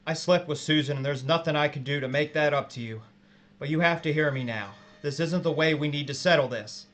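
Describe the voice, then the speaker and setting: A man's voice: on the high side, a little fast, a bit bright, lightly acted. An actor playing a guilty, tormented captive who pleads with his coworker in an audio drama, sounding strained and desperate. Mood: pleading.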